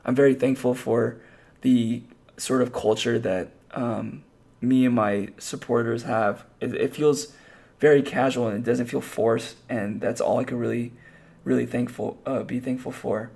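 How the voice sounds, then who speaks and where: A man with a hoarse, raspy voice, hosting a podcast and chatting casually on his own, rambling with lots of fillers and restarts. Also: mid-range voice, steady tone, measured pace, a little mellow. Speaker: podcast host. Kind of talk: casual solo podcast talk.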